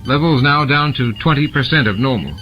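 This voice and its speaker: A man's voice: mid-range voice, lively intonation, brisk, lo-fi sound, voice pushed up, natural voice. Man as a blunt starship engineer over music. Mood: businesslike. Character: blunt, practical male chief engineer. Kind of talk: audiobook character dialogue. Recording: music bed behind the reading.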